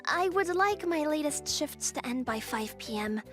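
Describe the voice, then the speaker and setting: Woman acting a young female student, high-pitched, polite, earnest, manga dub, soft music behind. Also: expressive, normal speed. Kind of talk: dubbed romantic comedy manga acting.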